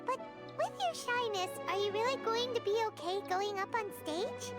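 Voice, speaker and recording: A woman voicing a tiny childlike fairy companion in a video game, squeaky, perky and forthright. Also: animated, moderate pace. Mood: curious. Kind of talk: scripted video game dialogue. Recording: loud music behind the voice.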